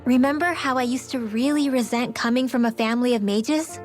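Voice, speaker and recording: A young girl mage from a fantasy video game, acted by a woman, speaks in a high, youthful voice. She is earnest and open-hearted with a stubborn streak. Soft music plays behind her. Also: fairly quick, close to an everyday voice. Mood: earnest, reflective. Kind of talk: video game cutscene voice acting.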